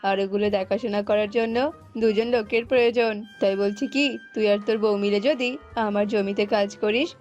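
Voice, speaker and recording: A woman's voice: mid-range voice, fairly even intonation, normal speed, a little mellow, dull audio, lightly acted. A woman voicing a bossy male crow farm owner in a cartoon, brusque and condescending. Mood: advising. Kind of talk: cartoon voice acting. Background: music.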